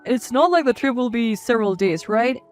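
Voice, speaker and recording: Caring husband and young dad for story dialogue, reassuring, sincere, high register, soft music bed performed by a woman. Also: animated, normal speed, lightly acted. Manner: reassuring, earnest. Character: supportive, apologetic husband and young father.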